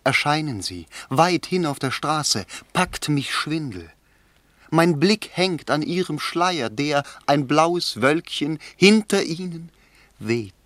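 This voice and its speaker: Lovesick melancholy poet, unhurried declamation, dry studio radio drama. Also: male voice, fairly high pitch, animated, fairly bright tone, dry recording. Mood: fervent, adoring. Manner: slow, fervent, declamatory. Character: melancholy, lovesick young poet and newspaper writer. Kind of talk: German radio play acting.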